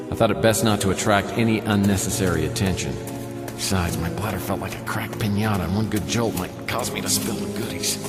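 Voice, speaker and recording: Deep, echoing, world-weary noir detective. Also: male voice. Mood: wry, intent. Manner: deadpan, sardonic. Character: wry, world-weary hardboiled male private detective. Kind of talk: noir radio drama narration and dialogue. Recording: roomy long reverb under loud music.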